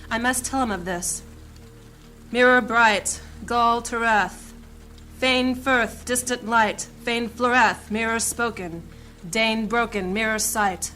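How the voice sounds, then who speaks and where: A woman's voice: a little high, fairly bright tone. A woman playing a resolute, loyal mage in a fantasy audio drama, with a formal, earnest manner. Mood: weary, wary, then incanting. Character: resolute, loyal female mage on a dangerous mission.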